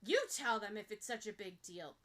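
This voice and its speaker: Gruff teenage boy, grouchy, defiant and curt, bright forceful edge played by a female reader, for story narration. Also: medium pitch, animated, normal speed, lightly acted. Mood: gruff, dismissive. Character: gruff, hot-tempered, dismissive teenage boy.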